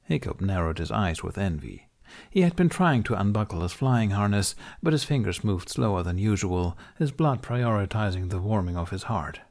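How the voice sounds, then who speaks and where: A man's voice: lowish voice, animated, moderate pace, a little mellow. A man reading scripted fiction as an audiobook narrator.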